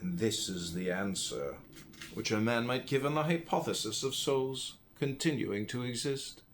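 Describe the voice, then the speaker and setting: A man's voice: mid-range voice, lively intonation, moderate pace, slight background noise. An American man reading classical philosophy aloud as an audiobook, in a solemn, emphatic, declamatory manner. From United States. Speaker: audiobook reader.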